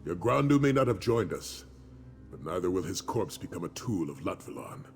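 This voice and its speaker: Grave veteran dragon-hunter leader for fantasy game: very low, solemn, measured, with music underneath. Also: male voice, very animated, a little mellow. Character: grave, wise male dragon-hunter commander and mentor. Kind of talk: video game dialogue acting. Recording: music behind the voice.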